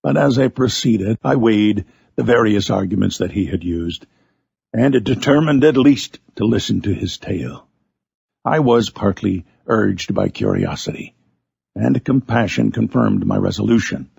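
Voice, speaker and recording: A man's voice: lowish voice, big pitch swings, unhurried, gravelly, a little mellow, breathy edge, dry recording. A man narrating a Gothic novel in the first person, in a dramatic audiobook style.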